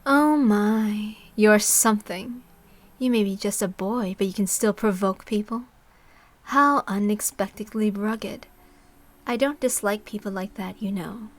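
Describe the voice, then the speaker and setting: A woman voicing a sly, secretive adult woman in a story reading, teasing in a drawn-out, roundabout way. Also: medium pitch, natural voice. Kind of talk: dramatic reading of a visual novel.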